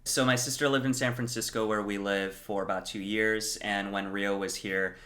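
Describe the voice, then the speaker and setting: A man's voice: fairly low pitch, fairly even intonation, normal speed, pushed and bright. A young adult man co-hosting a podcast, explaining things in an easygoing, chatty way and laughing along. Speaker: podcast co-host. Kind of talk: casual chat.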